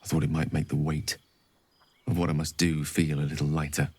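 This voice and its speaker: A romantic, melancholy wizard in a fantasy video game. His low voice is intimate and measured, with eloquent, poetic phrasing and a very dry, close sound. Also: level tone, dark tone, slightly rough, no room sound. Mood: grave, resigned.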